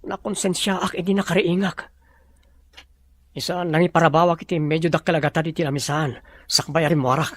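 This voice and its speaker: An actor with a Filipino accent playing a businesslike, easily exasperated boss with a troubled conscience in a radio drama. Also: male voice, a little high, fairly even intonation, fairly quick, no room sound, natural voice.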